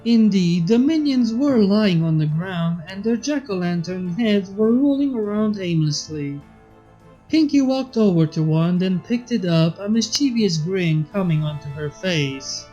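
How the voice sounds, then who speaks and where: A woman's voice: medium pitch, expressive, measured pace. A young adult woman reading a story aloud as a narrator. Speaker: fanfiction reader and channel host. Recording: slightly dull audio, soft background music.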